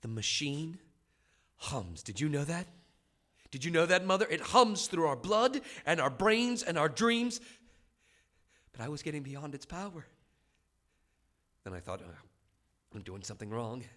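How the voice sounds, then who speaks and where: An actor playing a rebellious, obsessed son in a theatrical audio drama, speaking fervently with halting repetitions. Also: male voice, a little high, sing-song, sharp tone, exaggerated voice. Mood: hushed, awed. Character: young rebellious son, obsessed, confessing his escape. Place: stage before a studio audience. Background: music.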